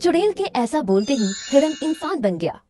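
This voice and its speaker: High, animated woman storyteller. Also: moderate pace, faint music behind. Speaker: children's story narrator. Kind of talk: scripted moral storytelling for children.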